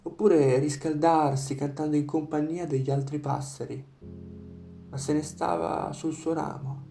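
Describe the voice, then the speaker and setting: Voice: medium pitch, fairly even intonation, unhurried, creaky, dark tone. A storyteller reading a scripted fairy tale narration over instrumental music.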